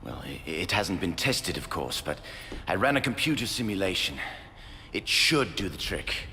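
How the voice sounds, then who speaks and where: An actor playing a calm, reassuring middle-aged scientist in a video game, speaking in a measured, hesitant way. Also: male voice, deep voice, long reverb tail, a little hiss or hum, full character voice. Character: calm, reassuring male scientist, very deep-voiced. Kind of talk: video game cutscene acting.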